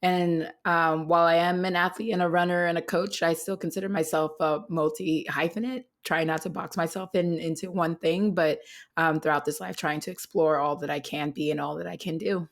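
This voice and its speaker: A woman in her thirties from Cameroon, a runner and coach, introducing herself in a relaxed, reflective way. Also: fairly low pitch, normal speed. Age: 30s. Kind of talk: personal storytelling.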